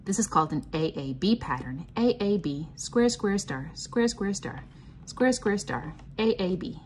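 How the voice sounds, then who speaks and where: A woman reading a picture book aloud to children and explaining it simply, in a sing-song, teacherly way with clear enunciation. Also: mid-range voice, animated, measured pace, slight background noise. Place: indoors, at a table.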